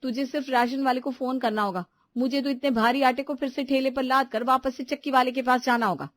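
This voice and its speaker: A woman's voice: high-pitched, fairly even intonation, a little fast, no echo, clean studio sound, natural voice. A woman voicing an irritable, blame-shifting young daughter-in-law in a household story, snappy and complaining.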